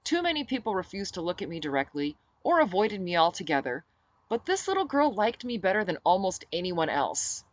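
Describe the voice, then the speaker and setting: A woman reading her own novel aloud as expressive first-person audiobook narration. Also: fairly low pitch, a little fast, no room sound.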